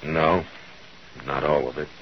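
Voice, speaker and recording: An American man playing a steady, authoritative Old West marshal in a 1950s radio western, terse and plain-spoken. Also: extremely low pitch, slow, slightly rough, lo-fi sound, exaggerated voice. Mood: firm, measured. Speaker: lead actor and narrator.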